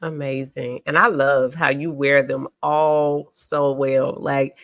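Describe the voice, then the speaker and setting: A woman hosting a podcast, reading a formal introduction and then chatting warmly and affirmingly with her guest. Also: rumbling low, little pitch movement, takes it slowly, dry recording. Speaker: podcast host. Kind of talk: interview hosting.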